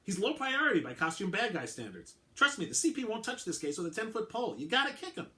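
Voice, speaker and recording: A man's voice: high voice, big pitch swings, fast, bright, forceful tone, voice pushed up, exaggerated voice. A man voicing a brusque, dismissive and exasperated male official in a dramatic crime story reading. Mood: exasperated, emphatic. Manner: emphatic, exasperated. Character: brusque male prosecution liaison, dismissive and emphatic.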